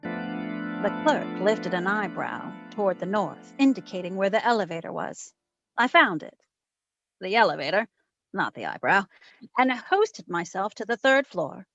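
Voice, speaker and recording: A woman playing a wisecracking, hard-boiled private detective in an old-time radio drama, telling her case in a wry, deadpan way. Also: medium pitch, a little slow, full character voice. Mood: wry, tense. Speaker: lead actor in community theatre radio recreation. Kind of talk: old-time radio detective acting, first-person narration and dialogue. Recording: dull lo-fi recording with music underneath.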